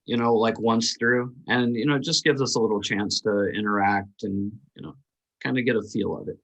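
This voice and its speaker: A man leading a table read on a video call, talking casually and haltingly in a reassuring, informal way. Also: on the low side, fairly even intonation, vocal fry, a bit bright, poor audio. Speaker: film production lead running a table read.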